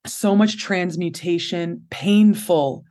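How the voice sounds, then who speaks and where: A woman in her thirties with a low voice, speaking openly and expressively as a guest in an interview, laughing often. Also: slow pace, dark tone. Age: 30s. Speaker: podcast guest. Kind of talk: candid conversational interview.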